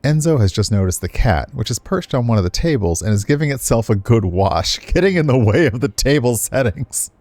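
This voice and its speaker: A man's voice: mid-range voice, lively intonation, fairly quick, hardly any pauses, darkish tone, no room sound. A man who streams games, reading a visual novel aloud and adding casual, playful commentary. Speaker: game streamer.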